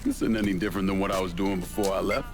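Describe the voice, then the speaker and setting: A determined young American soldier back from war, in a game cutscene. His lowish voice is blunt and confident, set against clear music. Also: steady tone, very fast. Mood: steady, matter-of-fact. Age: young adult. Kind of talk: dramatic video game cutscene acting.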